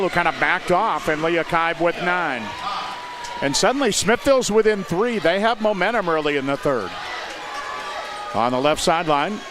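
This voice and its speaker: Higher-voiced American man calling basketball. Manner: clipped descriptive phrases. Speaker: play-by-play announcer. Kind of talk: live high school basketball commentary. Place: indoor high school gymnasium. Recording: noisy gym with loud crowd. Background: crowd noise and bouncing basketballs.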